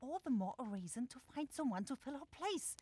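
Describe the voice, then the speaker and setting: A woman's voice: high voice, big pitch swings, fairly quick, mellow, slightly rough, dry recording, full character voice. A woman playing an anxious, polite demon shopkeeper sister in a fantasy ASMR audio roleplay, speaking earnestly and placatingly. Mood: worried.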